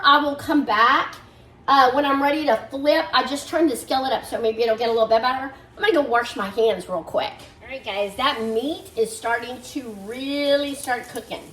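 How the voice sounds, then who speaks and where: A woman's voice: high-pitched, fairly bright tone, a little hiss or hum. A woman chatting casually to viewers while she cooks in her home kitchen, a little nasal as she gets over a fever. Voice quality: slightly nasal. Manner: chatty, talks to viewers as "guys" while working at the stove. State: recovering from a fever. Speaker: cooking vlogger. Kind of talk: casual chat while cooking. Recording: echoey kitchen, mic a bit far off. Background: sizzling meat in a skillet.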